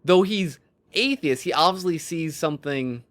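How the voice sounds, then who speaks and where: A man co-hosting a podcast, talking casually and haltingly as he thinks aloud, often interrupting himself. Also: a little high, lively intonation, a bit bright. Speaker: podcast co-host. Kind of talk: casual discussion of storytelling.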